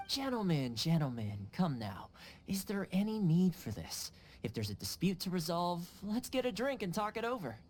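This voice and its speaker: An actor playing an affable, easygoing young clan fixer in a video game, smooth-talking and teasing. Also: male voice, sits high, very animated, normal speed. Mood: smooth, placating. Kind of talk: English video game dub acting.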